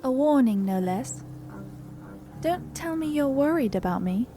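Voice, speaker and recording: An actress playing a wry, self-possessed adventurer in a video game, speaking in a dry, direct, sceptical way. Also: female voice, high voice, lively intonation, unhurried, dark tone, faint music behind. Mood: wry, challenging.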